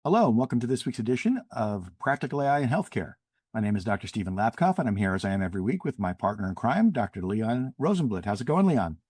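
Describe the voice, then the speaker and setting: A man's voice: fairly low pitch, big pitch swings, quick pace, darkish tone, breathy edge. A man hosting a podcast, introducing a guest in a friendly manner and then asking questions. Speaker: podcast host.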